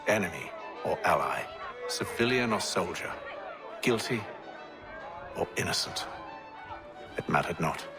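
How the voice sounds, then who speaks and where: An actor playing a dignified, reflective gentleman leader in a video game, speaking formally in a measured, articulate way. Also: sits low, animated, music in the background, a crowd in the background. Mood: somber. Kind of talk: dramatic video game acting.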